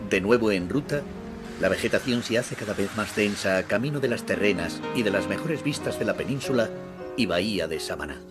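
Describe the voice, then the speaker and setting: A man reading scripted documentary narration in a measured, descriptive way. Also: fairly low pitch, lively intonation. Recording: voice-over with loud music underneath. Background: music.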